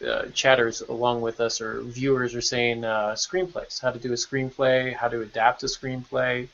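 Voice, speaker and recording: A man's voice: medium pitch, little pitch movement, normal speed, vocal fry, fairly bright tone. A man hosting a podcast with casual, chatty delivery among co-hosts and guests. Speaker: podcast host. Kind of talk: casual panel chat. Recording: dull lo-fi online audio.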